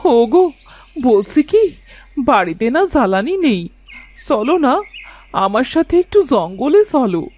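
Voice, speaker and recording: A woman's voice: high voice, sing-song, slow, pauses often, a little mellow, heavily acted. A woman voicing an anxious village mother and wife in a cartoon, fretful and plaintive. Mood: coaxing. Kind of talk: Bengali cartoon voice acting.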